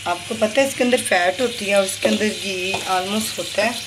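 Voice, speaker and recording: A woman's voice: mid-range voice, normal speed. A woman vlogger walking through a home cooking recipe step by step in casual chat, pointing things out as she goes. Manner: chatty, repeats "ye dekhiye" while showing each step. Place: home kitchen. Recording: kitchen sizzle and noise behind.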